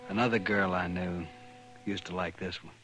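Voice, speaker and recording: An actor playing a courteous, reserved, world-weary riverboat guitarist in a 1950s radio western. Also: male voice, sits low, fairly even intonation, moderate pace, loud music behind the voice, lightly acted. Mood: courteous, wistful.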